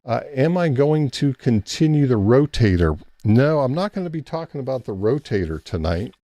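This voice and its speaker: A man's voice: mid-range voice, very animated, normal speed, dark tone, dry recording. A man hosting a casual late-night ham radio live stream, rambling easily and thanking chat members by name.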